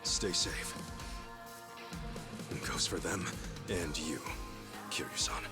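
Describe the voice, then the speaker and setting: A man's voice: low-pitched, slow pace. A man acting an earnest, hot-blooded regular-guy hero in a video game dub, brash and emphatic. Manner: emphatic, exclamatory. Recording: music behind the voice.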